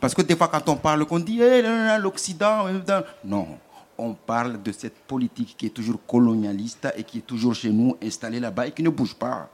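A man's voice: medium pitch, expressive, a little fast. A man with a francophone African accent talking in a colloquial, plain-spoken and emphatic way in a panel conversation.

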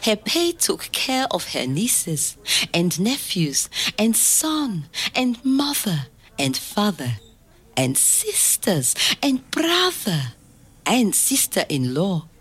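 Actress as loving grandma reading a bedtime story, slow and husky, really expressive. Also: female voice, medium pitch, exaggerated voice. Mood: grave. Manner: measured, expressive, tender. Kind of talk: scripted dramatic story narration.

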